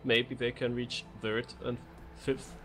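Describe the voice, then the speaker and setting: A man co-commentating a video game race live, in halting, hesitant phrases. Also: medium pitch, flat delivery, bright, forceful tone. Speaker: esports co-commentator.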